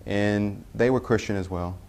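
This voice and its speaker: A young man from Tennessee speaks with a very low voice. He tells his personal story unscripted, pausing often and correcting himself mid-sentence. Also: a little mellow. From Tennessee, US Southern. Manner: halting, self-correcting with many "uh" pauses. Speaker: convert telling his story. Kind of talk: personal testimony.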